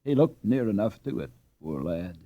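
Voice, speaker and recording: A man's voice: lowish voice, big pitch swings, no echo, phone-quality sound. A man voicing a feeble, bewildered older Dutch workman recovering from a long illness. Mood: sad. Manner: slow, wondering and feeble.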